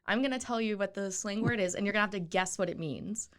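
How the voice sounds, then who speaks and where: Woman, mid-pitched, upbeat chatty podcast host. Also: fast talker, fairly bright tone. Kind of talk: casual interview chat.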